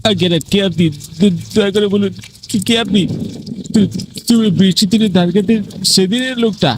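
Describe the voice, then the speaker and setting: A man's voice: sits high, moderate pace, slight background noise, pitched above the performer's own voice, close to an everyday voice, poor audio. A man voicing a frightened, guilty man who stammers and pleads for mercy in a horror cartoon. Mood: terrified. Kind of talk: dramatic horror cartoon acting.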